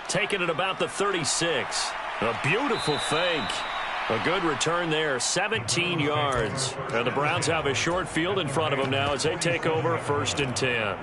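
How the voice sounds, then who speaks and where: An actor playing a polished, upbeat American TV football announcer calling play-by-play in a video game. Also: male voice, on the high side, normal speed, heavy background noise, close to an everyday voice. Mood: steady, brisk. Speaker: play-by-play sports commentator.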